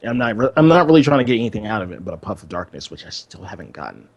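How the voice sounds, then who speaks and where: A man's voice: fairly low pitch, big pitch swings, racing, no echo, muffled recording. A man co-hosting a casual gaming podcast, giving laid-back, brief conversational replies. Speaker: podcast co-host.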